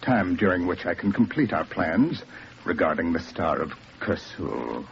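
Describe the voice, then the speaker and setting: An actor playing a smug, scheming villainous professor in 1940s radio style, measured and gloating. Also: male voice, deep voice, sing-song, gravelly, full character voice. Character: smug scheming criminal mastermind professor, middle-aged or older man. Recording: muffled noisy 1940s radio recording.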